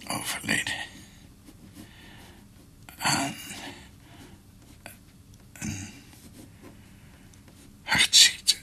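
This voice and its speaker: An actor playing a dying old doctor in a radio drama, weary and in pain, speaking in halting, whispered, broken phrases. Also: male voice, sharp tone, full character voice. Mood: labored, solemn. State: in pain, struggling for breath.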